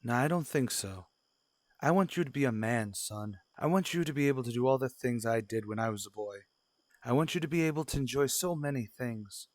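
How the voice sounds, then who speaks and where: A man playing a stern, demanding father in an old-style radio drama, speaking formally and with measured admonishment. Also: medium pitch, animated, no room sound, close to an everyday voice. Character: stern, demanding 1940s father scolding his young son. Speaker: voice actor.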